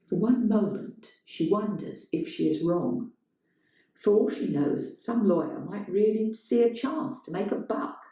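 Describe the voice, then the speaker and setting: A woman reading literary fiction aloud as a storyteller, in a measured, dramatic way. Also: fairly low pitch, mellow. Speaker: story reader. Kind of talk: scripted literary narration, performed reading. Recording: very echoey room.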